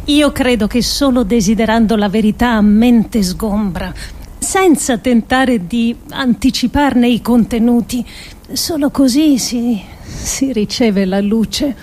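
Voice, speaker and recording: An actress reads a scripted radio monologue. Her voice sits fairly high, and she delivers each phrase deliberately, with dramatic pauses. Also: darkish tone. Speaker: actress reading an adapted essay.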